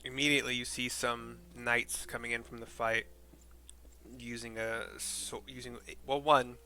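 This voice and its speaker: A man's voice: mid-range voice, flat delivery, moderate pace, bright, forceful tone. A man streaming a video game, narrating scenes and commenting casually with rambling, informal, sweary asides. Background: game music.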